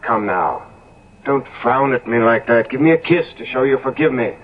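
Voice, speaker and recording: An actor playing an impressionable, vain and nervous young gentleman in a 1970s radio drama, stammering and hesitant as he speaks. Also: medium pitch, lively intonation, moderate pace, crowd noise, natural voice. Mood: smooth, evasive. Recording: dull lo-fi old radio broadcast.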